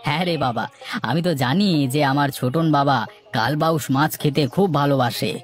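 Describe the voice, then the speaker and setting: A man's voice: on the high side, fairly quick, lightly acted. A man playing an earnest village father, music behind. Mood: fond, cheerful. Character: devoted, anxious village father and husband. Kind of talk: cartoon story dialogue.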